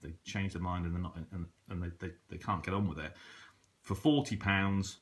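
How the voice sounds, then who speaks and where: A British man giving a casual, unscripted product review in a hesitant, conversational way. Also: sits low. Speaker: hobbyist radio reviewer. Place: indoors at a table in a room.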